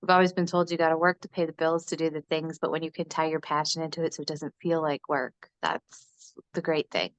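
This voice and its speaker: A woman's voice: low voice, a little fast, few pauses, no room sound. A woman hosting a podcast, upbeat and friendly as she interviews a guest. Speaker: podcast host.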